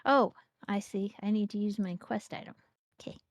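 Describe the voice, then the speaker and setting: A woman's voice: medium pitch, moderate pace. A woman co-hosting a game stream, chatting in a playful, rambling way and often restarting her sentences. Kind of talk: casual gameplay chat.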